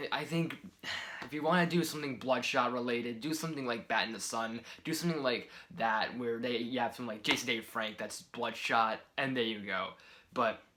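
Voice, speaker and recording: A man giving casual film-ranking commentary in a soft, near-whispered delivery, rambling and exasperated with many fillers. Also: mid-range voice. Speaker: movie reviewer.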